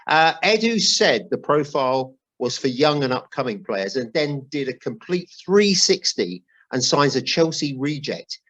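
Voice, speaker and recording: A man's voice: medium pitch, sing-song, measured pace, hardly any pauses, fairly bright tone, poor audio. A man hosting a football podcast in a chatty way with wry asides, reading out notes and emails. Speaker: podcast host.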